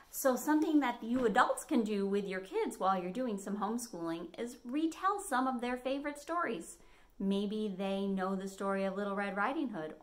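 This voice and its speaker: American woman reading to children, animated. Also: mid-range voice. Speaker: library storytime host. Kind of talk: expressive children's picture book reading. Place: small living room at home, indoors.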